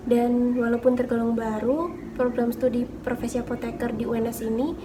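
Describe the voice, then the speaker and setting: A woman pharmacy student giving a prepared answer in a flat, even way. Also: fairly high pitch, moderate pace, background noise.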